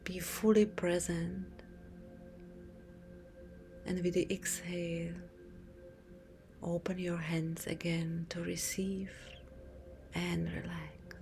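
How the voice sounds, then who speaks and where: A woman speaks in a soft, breathy half-whisper, soothing and unhurried with long pauses, as a yoga teacher leading a guided relaxation meditation. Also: on the low side, level tone. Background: music.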